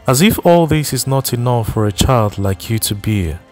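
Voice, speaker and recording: A man voicing an older village woman who laments slowly and at length to a grieving mother in a storybook reading. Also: mid-range voice, expressive, darkish tone, soft background music, pitched above the performer's own voice, natural voice. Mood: lamenting. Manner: slow, mournful, long-winded.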